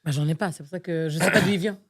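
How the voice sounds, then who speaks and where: Lowish-voiced woman from Nigeria, exasperated. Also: rapid-fire. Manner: exasperated, thinks aloud with many fillers. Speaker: podcast co-host. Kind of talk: casual chat, guessing game.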